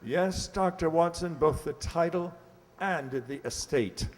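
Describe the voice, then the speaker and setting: A man's voice: fairly high pitch, slow. Man playing a fussy, flustered lord in an echoey hall. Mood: earnest, storytelling. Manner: hesitant, fussy, stammering. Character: flustered aristocratic father, an English lord. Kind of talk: radio play acting. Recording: echoey hall, long reverb.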